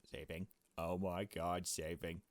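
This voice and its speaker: A man's voice: low-pitched, flat delivery, slow pace, dry recording. A man giving casual, chatty let's play commentary, with playful asides as he reads a visual novel game aloud. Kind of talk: casual game commentary mixed with read-out dialogue. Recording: game music behind the voice.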